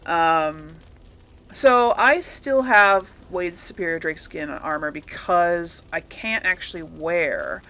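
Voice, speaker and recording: A woman's voice: fairly low pitch, takes it slowly, a bit bright. A woman giving casual, rambling let's-play commentary to viewers, thinking aloud as she goes. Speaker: gaming video host.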